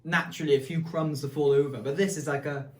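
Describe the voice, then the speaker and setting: A man's voice: fairly high pitch, fairly even intonation, fast talker, darkish tone. Emphatic Welsh man, roomy echo. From Wales. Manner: emphatic, enthusiastic verdicts. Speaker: host. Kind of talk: casual food tasting chat.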